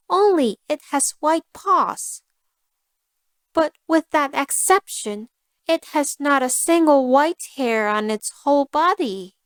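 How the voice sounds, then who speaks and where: Lady doing a smug greedy girl cat for a fairy tale, really slow n deliberate, haughty, dry close sound. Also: sits high, no echo, pitched above the performer's own voice.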